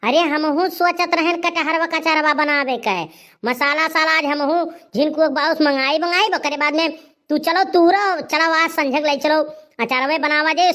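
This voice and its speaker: A woman's voice: sits high, a bit bright. A woman playing a heavyset, crafty village elder sister in a comedy cartoon, chatty and coaxing, with an Awadhi accent. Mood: eager.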